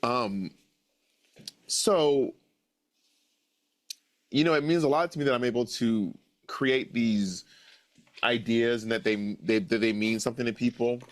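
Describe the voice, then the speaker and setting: A man's voice: medium pitch, unhurried, long pauses. An American man in his late thirties, an author answering in an interview with an earnest, musing manner and repeated phrases for emphasis. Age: 30s. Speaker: author guest.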